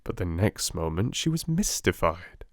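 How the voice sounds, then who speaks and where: A soft-spoken man with a British accent, gently and expressively reading a storybook as an audiobook narrator. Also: low-pitched, very animated, normal speed, no room sound, clean studio sound.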